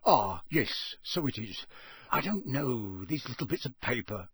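A man's voice: mid-range voice, sing-song, measured pace, fairly bright tone, breathy edge, no room sound, full character voice. A man doing a kindly, flustered wizard dad. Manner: polite, fumbling and nervous. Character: kindly, flustered middle-aged wizard father.

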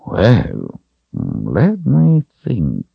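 A man voicing a kindly, tender storybook wizard, thoughtful and playful, with a drawn-out delivery. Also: fairly low pitch, huge pitch swings, creaky, husky, no room sound, dull audio, voice pushed up, heavily acted.

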